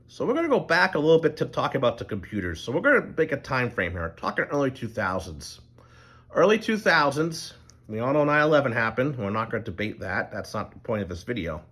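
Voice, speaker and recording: Brief: middle-aged man, mid-pitched voice, loose unscripted monologue with frequent fillers and restarts. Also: big pitch swings, brisk, fairly bright tone, slightly rough. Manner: rambling, loose, full of ums and restarts. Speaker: channel host. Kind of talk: casual explanatory monologue.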